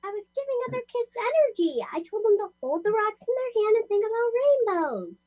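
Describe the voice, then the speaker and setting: Woman as a very high, quirky little girl. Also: very animated, a little fast, highs cut off. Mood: matter-of-fact, whimsical. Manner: matter-of-fact, whimsical. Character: quirky, cheeky young daughter who loves rocks. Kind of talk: amateur audio play acting.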